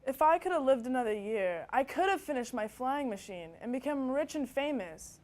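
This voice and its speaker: A woman's voice: sits high, animated, moderate pace, darkish tone. A woman performing a dramatic monologue as a wry dead youth who dreamed of being an inventor. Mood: wry, rueful.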